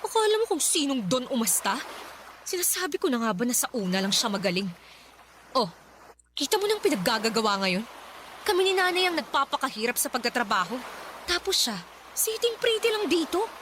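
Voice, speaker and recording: An actress playing a fierce, protective older sister with a feisty, scolding way of talking in a radio drama. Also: female voice, high voice, big pitch swings, brisk, fairly bright tone, slight background noise. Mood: resentful. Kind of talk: Filipino radio drama acting.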